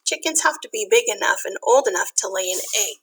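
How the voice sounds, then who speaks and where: A woman reading a picture book aloud to young children, expressive, with simple explanatory asides. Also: medium pitch, quick pace, a little hiss or hum, poor audio.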